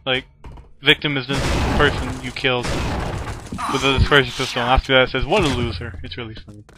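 A male gamer commentates casually as he plays. His voice is of middling pitch, with playful sarcasm and short muttered asides. Game effects are clearly audible behind him. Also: fairly even intonation, fast, noisy background. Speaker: let's play commentator. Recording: game gunfire and ambience behind voice. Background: video game sound effects.

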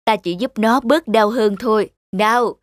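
An actor voicing a wise, authoritative mentor in a children's cartoon, speaking in a calm, measured, instructive way. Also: medium pitch, no room sound, studio quality, natural voice. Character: calm, wise, authoritative fairy-tale mentor teaching a pupil. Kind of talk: animated children's fairy tale acting.

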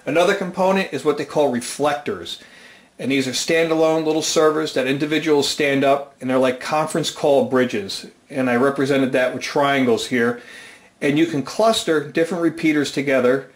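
Higher-pitched American man in a roomy space. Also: moderate pace. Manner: methodical, step-by-step explaining. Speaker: amateur radio channel host, presenter. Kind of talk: informal whiteboard technical explanation. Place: small indoor room at a whiteboard. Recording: roomy, reverberant indoor sound.

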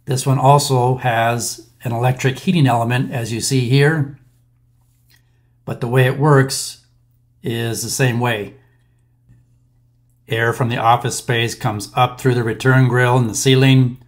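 A man in his thirties talks through a technical topic in a close-miked voice-over. His voice sits at an ordinary middle pitch and his delivery is plain and methodical, with occasional fillers as he moves from point to point. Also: flat delivery, measured pace, pauses often. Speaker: maintenance instructor. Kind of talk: explainer voice-over over slides.

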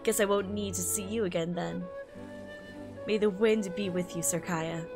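A woman's voice: mid-range voice, fairly even intonation, moderate pace, close to an everyday voice. A brooding young nobleman from a manga, read aloud by a woman, curt and dismissive. Music plays behind the words.

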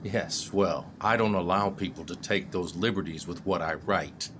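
A man's voice: low-pitched, normal speed, fairly bright tone, a little hiss or hum. A man with an American accent acting an impassioned, principled revolutionary writer in a historical drama, firm and emphatic. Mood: controlled, cold. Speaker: voice actor.

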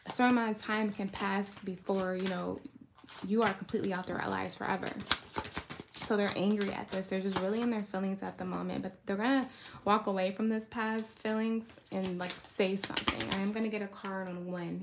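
A woman's voice: mid-range voice, noisy background. A woman giving a casual, rambling tarot card reading in a conversational way, often checking in with the listener. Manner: conversational, rambling, checks in with "right?" and "you know". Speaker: tarot reader.

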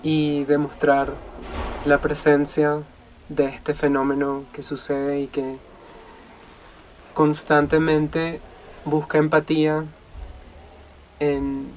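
Young Venezuelan man, artist voice-over, measured and pausing, slightly high, unhurried. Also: monotone, darkish tone, a little hiss or hum, rough recording. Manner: slow, measured, pausing often. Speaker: resident artist. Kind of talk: reflective documentary voice-over.

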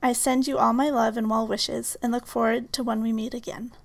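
Creaky-voiced woman reading a tribute. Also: fairly high pitch, flat delivery, fairly quick. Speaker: philosophy professor. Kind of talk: scripted spoken tribute.

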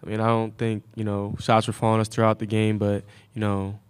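A man's voice: fairly low pitch, completely flat delivery, brisk, clean tone. A man in his early twenties, a college athlete, answering press questions in a hesitant, subdued way. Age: early 20s. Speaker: college basketball player. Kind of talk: postgame press conference answers. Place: indoor press conference room. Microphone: table microphone.

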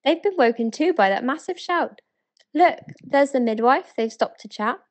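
British female, slightly high voice, as an eager ancient townswoman played by a woman in spoken-word verse; earnest, full of wonder. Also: moderate pace. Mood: excited, insistent. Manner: earnest, excited, pleading.

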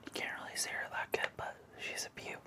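A man in his thirties doing ASMR, hesitant, moving between a whisper and a soft voice in a small room indoors. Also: fast talker. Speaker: ASMR video host.

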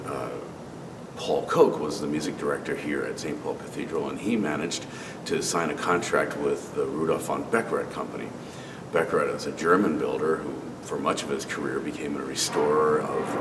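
Very low-voiced man in an echoing cathedral. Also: recorded from a distance. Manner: relaxed, conversational, with frequent fillers and restarts. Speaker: cathedral organist. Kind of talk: informal spoken tour. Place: large stone cathedral, organ console. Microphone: camera at medium distance. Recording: roomy cathedral reverb, off-mic, air-conditioning hum.

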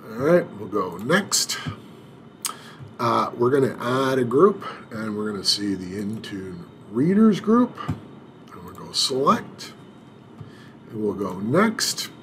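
Slow, hesitant man narrating a screen tutorial. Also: medium pitch, sing-song, a bit bright, slight background noise. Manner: unhurried, hesitant, many filler sounds and restarts. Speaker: IT administrator demonstrating software setup. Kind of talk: screen-recorded tutorial walkthrough.

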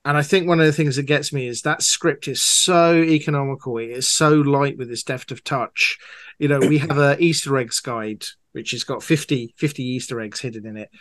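A man's voice: mid-range voice, a bit bright, no room sound. A man answering interview questions as a guest audio drama producer, talking conversationally and with enthusiasm.

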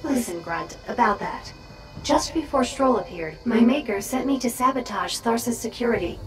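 A woman playing a brisk, sardonic little robot drone companion in a video game, talking in a wry, matter-of-fact way. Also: a little high, expressive, close to an everyday voice. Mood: grave, confessing. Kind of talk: video game character dialogue. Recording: game music behind the voice.

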